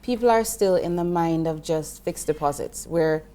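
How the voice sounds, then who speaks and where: Jamaican-born woman explaining investing, mellow voice. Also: sits low, normal speed. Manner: earnest, explanatory, with frequent restarts. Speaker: investment professional guest. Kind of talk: interview conversation.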